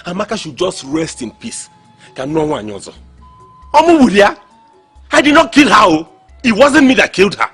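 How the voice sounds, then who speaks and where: An actor with a Nigerian accent playing a grieving, then selfish widower in a drama, given to loud dramatic outbursts. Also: male voice, high voice, very animated, a little fast, long pauses, husky, faint music behind, exaggerated voice. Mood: angry. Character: grieving then selfish Igbo widower, middle-aged man. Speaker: widower husband. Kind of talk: Nollywood drama acting.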